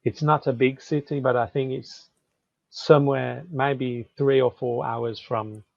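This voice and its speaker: A man from England with a Birmingham accent and a deep voice, chatting casually as a conversation tutor. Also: fairly even intonation, unhurried, gravelly, no room sound. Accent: Birmingham English. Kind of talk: casual chat. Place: online video call. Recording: dull lo-fi call audio.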